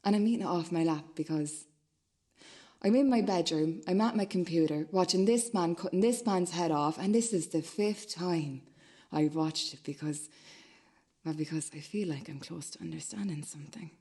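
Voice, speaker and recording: A woman acting an uneasy, obsessive loner in a radio play monologue, hesitant and rambling, with a husky, breathy voice. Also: on the low side, normal speed, warm tone. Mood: queasy.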